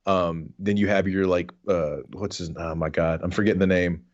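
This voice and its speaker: A man with a very deep voice talks casually on a comedy podcast. He explains silly things as if they were perfectly serious. The sound is a little dull. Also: fairly even intonation, moderate pace. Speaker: podcast co-host.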